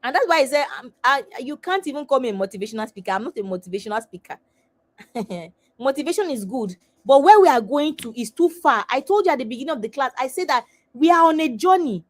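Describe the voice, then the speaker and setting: Woman, high voice, middle-aged, webinar coach, emphatic, repetitive, rhetorical questions. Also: animated, quick pace. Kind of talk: live webinar teaching.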